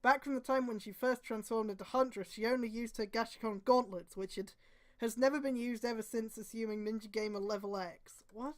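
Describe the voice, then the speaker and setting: A woman's voice: fairly high pitch, a little fast. A woman streamer reading fan fiction aloud, with sweary, exasperated commentary and emphatic delivery. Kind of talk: mocking read-aloud with casual commentary.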